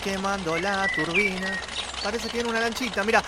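A man acting in comedic radio theatre as an excitable, amazed time traveler, with an Argentine accent. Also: sits high, brisk, lightly acted. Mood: excited wonder. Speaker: radio theatre co-host and actor. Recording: clearly noisy background.

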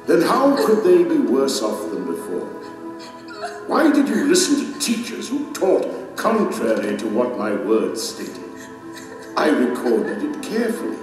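An actor playing a stern, sorrowful divine king passing judgment in an audio drama, with a solemn, declamatory delivery. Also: mid-range voice. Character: majestic divine king judging souls, stern, sorrowful, commanding. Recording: cavernous long reverb under dramatic music.

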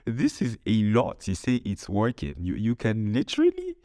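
A man's voice: lowish voice, huge pitch swings, normal speed, mellow, dry recording, clean studio sound. A man hosting a podcast, telling stories casually and with humour, acting out dialogue as he goes. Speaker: podcast host. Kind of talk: casual humorous storytelling podcast monologue.

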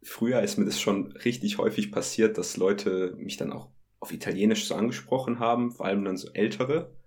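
A young man chatting casually as a podcast guest, relaxed and amused, with frequent fillers. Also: on the low side, fairly quick. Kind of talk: casual chat.

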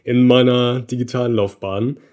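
A man in his thirties from Berlin, a podcast guest telling stories in a relaxed way, with fillers and laughs. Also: medium pitch, normal speed. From Berlin, Germany. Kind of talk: casual conversation.

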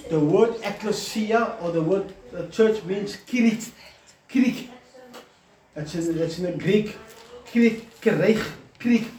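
A man's voice: high-pitched, unhurried, a little mellow. Man preaching informally, echoey and distant. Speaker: church leader. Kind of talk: informal sermon. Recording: echoey, distant, noisy room recording.

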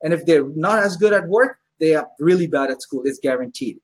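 Husky-voiced male restaurant owner, guest on an interview podcast, upbeat storyteller. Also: on the high side, animated, a little fast. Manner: enthusiastic storyteller, acts out customers' voices. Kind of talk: casual interview chat.

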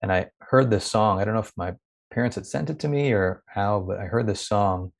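A man who grew up in India talks in a reflective, searching way. His voice sits a little low, and he often restarts phrases as he gathers his thoughts. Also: brisk, vocal fry. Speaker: guest. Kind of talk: reflective conversational interview.

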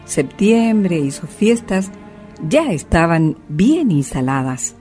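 A woman's voice: lowish voice, big pitch swings, takes it slowly, mellow, faint music behind. A woman narrating a scripted radio drama as a storyteller.

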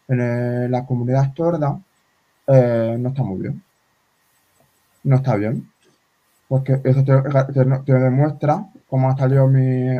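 A man in his forties whose first language is sign language, talking in a casual interview with many halting restarts. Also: medium pitch. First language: Spanish Sign Language. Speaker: guest. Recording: slightly dull lo-fi sound.

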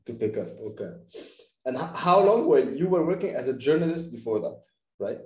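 A man hosting an interview podcast, speaking conversationally with a halting, hesitant delivery. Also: medium pitch, sing-song, muffled recording. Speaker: podcast host. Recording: roomy, echoing sound.

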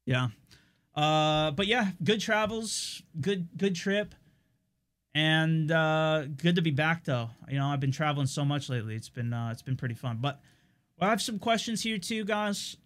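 A man's voice: on the high side, animated, measured pace, fairly bright tone. A young man hosting his stream, chatting casually with viewers in a rambling way full of fillers and restarts.

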